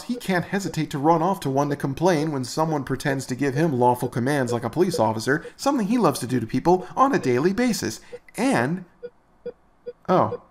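Sarcastic, animated male commentary host. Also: mid-range voice. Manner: sarcastic, exasperated ranting. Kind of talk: comedic commentary skit.

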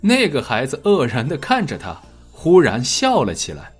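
A man narrating a scripted dramatic story in an expressive storytelling manner. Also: medium pitch, moderate pace, husky, faint music behind. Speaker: audiobook narrator.

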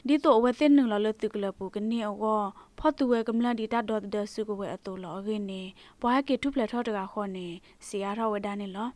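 Higher-voiced woman reading news. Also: quick pace, a little mellow, dry recording. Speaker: news presenter.

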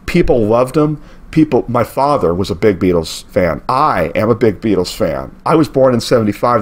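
A man in his forties hosting a local history show, talking casually over old photos and often checking in with "okay?". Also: mid-range voice. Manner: conversational, lively, asks okay? often. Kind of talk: narrated slideshow history talk.

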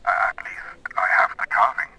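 A man's voice: moderate pace, heavy background noise, natural voice. An actor playing a secretive middle-aged man in an audio drama, polite, formal and hesitant. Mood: guarded.

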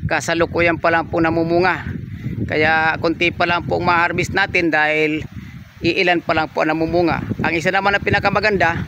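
A man's voice: fairly high pitch, heavy background noise. A man, narrating a walking tour of a farm lot for sale, politely pointing things out as he goes. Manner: polite, repeats "yan po" and "oh" while pointing things out. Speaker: real estate agent giving a property tour. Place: outdoors on a coconut farm.

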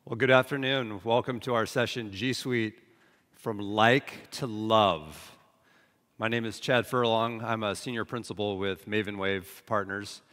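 A man's voice: lowish voice, fairly even intonation, measured pace, fairly bright tone. An American man in his forties giving a conference presentation as a consultant. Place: large conference hall stage, indoors. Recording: reverberant hall, stage PA.

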